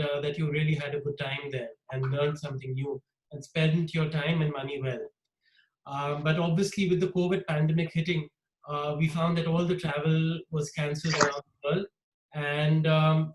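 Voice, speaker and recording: A man, slightly high-voiced for a man, presents over a video call from a noticeably echoey room. He explains patiently, often pausing with uh and um. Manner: many fillers, explanatory. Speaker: webinar host presenting a tour company. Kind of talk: live online presentation.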